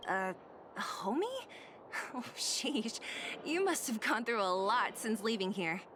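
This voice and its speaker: Blunt young gal in a game, slow and grumbly, bit higher voice, hum behind. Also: female voice. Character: blunt, restless young woman fighter. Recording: game audio with steady background noise.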